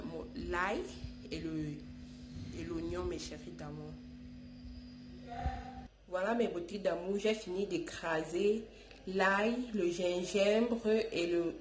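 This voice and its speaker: A woman's voice: on the low side, noisy background. Woman with a head cold, echoey room. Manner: affectionate, calls viewers darlings, repeats and restarts. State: stuffy from a cold. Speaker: YouTube channel host. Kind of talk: home remedy tutorial. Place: home, indoors.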